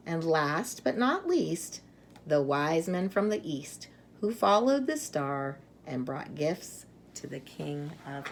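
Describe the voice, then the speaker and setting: Female storyteller, slow and low, expressive children's read-aloud with dramatic pauses, faint background noise. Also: gravelly. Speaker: storyteller reading a children's picture book.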